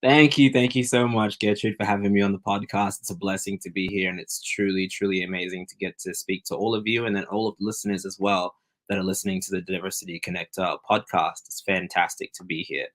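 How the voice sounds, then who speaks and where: A man's voice: low-pitched, fairly even intonation, fast. A man in his twenties, from Zimbabwe and raised in New Zealand and Australia, telling his life story as a podcast guest in an earnest, talkative way. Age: 20s. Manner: earnest, talkative, with frequent restarts. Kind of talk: casual interview storytelling.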